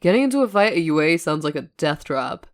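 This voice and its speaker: A woman voicing a calm, stubborn man who talks in a dry, matter-of-fact way in an audio story. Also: low-pitched, a little fast. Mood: smug, lying.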